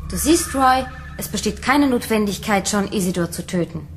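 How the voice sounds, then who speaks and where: A woman's voice: medium pitch, expressive, normal speed, soft background music, close to an everyday voice. A woman acting a composed, persuasive fugitive android in a radio drama.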